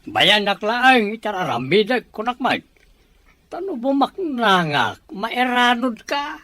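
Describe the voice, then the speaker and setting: A man's voice: very high-pitched, very animated, slow, pushed and bright, no room sound, exaggerated voice. A man with an Ilocano accent playing a greedy, dismissive character in an audio drama. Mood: defiant, irritated. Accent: Ilocano, from the Philippines. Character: greedy, defiant male landowner or his kin, gruffly dismissive.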